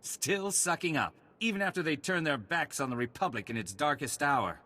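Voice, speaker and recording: An actor playing a cynical, sneering army captain with a grudge in a video game. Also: male voice, fairly high pitch, expressive, moderate pace, pushed and bright, in a large hall. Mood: scornful. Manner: sneering, scornful. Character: bitter, cynical male soldier, a captain with a grudge.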